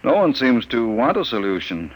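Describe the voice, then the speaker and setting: An actor playing a gentle, earnest archaeologist from off-world in an old radio drama. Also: male voice, medium pitch, big pitch swings, moderate pace. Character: calm off-world male archaeologist. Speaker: archaeologist narrator. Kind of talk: 1950s radio drama acting. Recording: old radio broadcast with noise.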